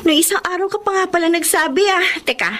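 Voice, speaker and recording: A woman with a Filipino accent acting in a radio drama as a hardworking, dutiful 25-year-old daughter with big dreams, expressive and emphatic. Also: extremely high pitch, quick pace, slight background noise. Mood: brisk, caring.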